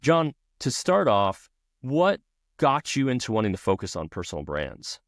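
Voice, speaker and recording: A man hosting a casual interview podcast, relaxed, asking open questions and giving brief affirmations. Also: mid-range voice, moderate pace, vocal fry, no room sound, clean studio sound. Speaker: podcast host.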